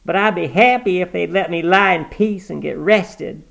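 A man reading aloud, voicing a sharp-tongued, stubborn old woman on her deathbed who scolds and commands. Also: medium pitch, normal speed, vocal fry, pitched above the performer's own voice. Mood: pleading. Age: 80s.

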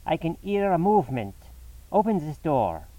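A man reading an audiobook voices a brisk police inspector who speaks urgently in a raised voice. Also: a little high, big pitch swings, warm tone, no room sound, voice pushed up, poor audio.

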